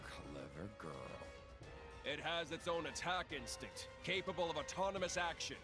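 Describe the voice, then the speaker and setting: An actor playing a menacing, sneering villain in a video game, taunting and gloating theatrically. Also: male voice, wildly swooping pitch, normal speed, music in the background. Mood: amused scheming. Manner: taunting, mocking, swinging from low drawl to shouts. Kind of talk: dramatic video game voice acting.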